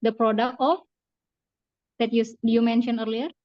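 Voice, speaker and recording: A woman's voice: fairly high pitch, fairly even intonation, normal speed, no room sound, highs cut off. Hesitant Indonesian woman moderating on a call. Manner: hesitant, careful English. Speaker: class moderator and interpreter. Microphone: video call.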